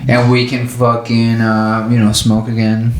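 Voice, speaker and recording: A man's voice: mid-range voice, monotone, a little slow, roomy sound, a little hiss or hum, poor audio. A young man hosting a comedy podcast, joking around and steering the conversation. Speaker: podcast host. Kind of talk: casual comedy podcast chat.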